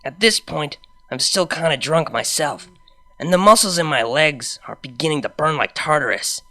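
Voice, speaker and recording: A woman narrates a first-person story expressively. Her voice sits low with a bright, forceful edge, and her intonation moves around a lot. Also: animated, moderate pace, dry recording. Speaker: fan fiction reader.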